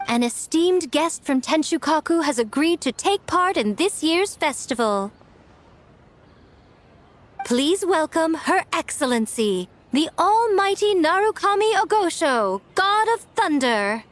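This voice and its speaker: A woman playing a sly, poised shrine priestess in an anime game, speaking in a smooth, deliberate, theatrical way. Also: high voice. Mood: grand, playful.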